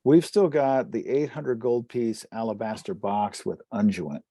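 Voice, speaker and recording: A man's voice: mid-range voice, measured pace, dark tone, no room sound. A man playing a tabletop role-playing game, chatting casually about the party's options.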